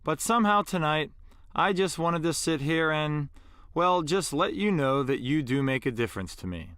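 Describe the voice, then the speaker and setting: A man voicing a busy middle-aged father in a story, speaking in a heartfelt, halting confession. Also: a little high, lively intonation, a bit bright, clear, resonant tone, no echo. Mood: tender, apologetic.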